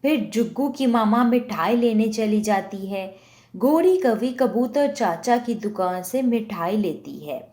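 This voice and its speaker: A woman's voice: fairly high pitch, expressive, unhurried, darkish tone. A woman reading scripted narration for a children's story.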